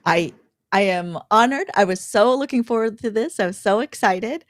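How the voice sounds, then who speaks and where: A woman's voice: mid-range voice, normal speed, no echo, studio quality. A woman content creator chatting informally and conversationally as a livestream guest. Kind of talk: casual livestream chat.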